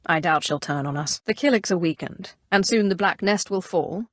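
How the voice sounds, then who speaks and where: An imperious female Sith inquisitor from a video game, acted by a woman, speaks in a fairly low register. She is cool, calculating and haughty, giving formal, measured lines like someone used to command. The sound is very dry, with no room on it. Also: no echo, natural voice. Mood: composed, calculating. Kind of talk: scripted video game character dialogue.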